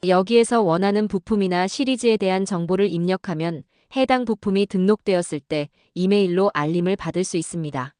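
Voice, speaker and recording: Mellow female tutorial narrator. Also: medium pitch, a little fast, few pauses, no echo, studio quality. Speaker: webinar narrator. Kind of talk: scripted software tutorial narration.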